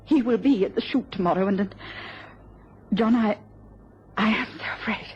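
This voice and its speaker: An actress playing a gentle, anxious, devoted wife in a radio drama, speaking politely and haltingly. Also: female voice, a little high, close to an everyday voice. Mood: tearful, fearful. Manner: polite, halting, breaking off when flustered. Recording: dull, noisy old radio recording.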